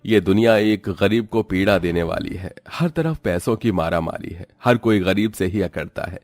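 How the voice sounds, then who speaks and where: A man's voice: sits low, a little mellow, no room sound. A man reading scripted narration for a dramatic story in a storyteller's manner.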